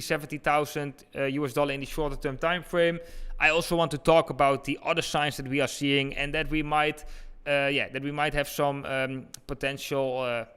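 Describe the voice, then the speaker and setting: Male livestream host, eastern Dutch accent, native Dutch speaker. Slightly high, crisp voice for informal live market commentary aimed straight at viewers. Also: a bit bright. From eastern Netherlands. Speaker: crypto trading livestream host.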